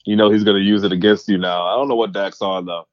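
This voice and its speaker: A man's voice: on the low side, fairly even intonation, fast talker, no echo, dull audio. A man co-hosting a casual sports podcast over a call, laid-back and slangy, laughing easily. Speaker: podcast co-host. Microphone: remote call.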